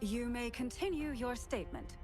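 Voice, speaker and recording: A woman's voice: fairly high pitch, expressive, moderate pace, music in the background, natural voice. An actress playing a composed, formal woman at a public hearing in a video game. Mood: composed, firm. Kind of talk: video game dialogue acting.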